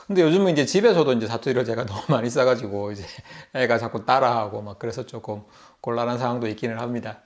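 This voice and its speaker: A man's voice: medium pitch, fast. A middle-aged man with a Busan Gyeongsang accent, telling a casual story to camera as a vlogger, chatty and laughing often. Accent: Gyeongsang (Busan) Korean. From Busan, South Korea. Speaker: YouTube vlogger.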